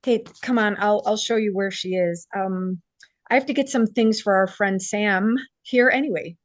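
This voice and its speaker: A woman's voice: mid-range voice, moderate pace, no room sound, lightly acted. A woman playing a kind, encouraging young woman in a drama table read. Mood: upbeat.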